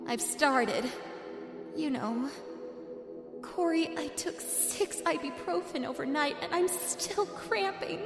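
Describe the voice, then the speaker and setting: A woman's voice: sits high, expressive, slow pace, full character voice. A woman playing bickering teenage twin sisters in an audio drama, sarcastic and exasperated, in a roomy reverberant recording. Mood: pained pleading. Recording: roomy long reverb under loud music.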